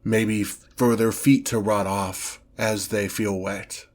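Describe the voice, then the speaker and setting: An ancient lord of chaos acted by a man holds forth in a low voice for an ASMR fantasy roleplay. He takes his time over every phrase, pompous and theatrical. His menace comes with haughty exasperation at petty mortals. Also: little pitch movement, a little mellow. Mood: incredulous, exasperated. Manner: pompous, theatrical, deliberate drawn-out delivery.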